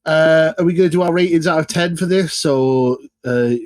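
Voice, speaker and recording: A man's voice: a little high, normal speed, darkish tone. A man with a stuffy, nasal voice from a head cold chats on a podcast. He talks at a loose pace, stopping and restarting often, and he sounds dry and close with no room echo. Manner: hesitant, full of uhs and restarts. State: blocked nose from a cold. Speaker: podcast co-host. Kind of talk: casual chat. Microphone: podcast microphone.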